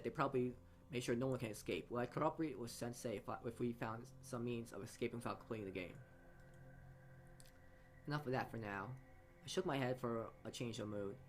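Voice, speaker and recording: Man, mid-pitched voice, informal story-game narration, halting, rereads words, background music. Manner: halting reading, often stumbling and rereading words. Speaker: player reading a visual novel aloud.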